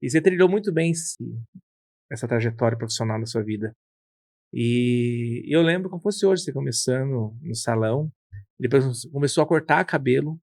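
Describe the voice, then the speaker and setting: A man's voice: mid-range voice, moderate pace, a little mellow, no echo, studio quality. A man hosting an interview, prompting with questions and recalling shared memories. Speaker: podcast host, interviewer. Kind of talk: casual interview.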